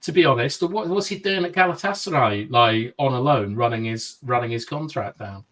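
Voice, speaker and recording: A man's voice: mid-range voice, expressive, normal speed, a bit bright. A man co-hosting a casual football chat on a video call, reasoning through points with "like" and "I think". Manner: reasoned, easygoing, hedges with "like" and "I think". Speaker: podcast co-host.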